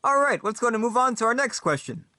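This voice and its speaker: A man playing a peppy, bombastic debate moderator in a comedy sketch, with an animated game-show announcer delivery. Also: very high voice, fast, pitched above the performer's own voice, full character voice. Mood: upbeat, showy. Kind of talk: game-show style hosting.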